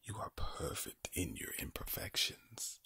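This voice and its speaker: A man speaking in a breathy, low ASMR whisper close to the microphone, deliberately repeating words in a soothing yet sinister-sounding way. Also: sharp tone. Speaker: ASMR performer. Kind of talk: ASMR monologue of whispered and low soft reassurance.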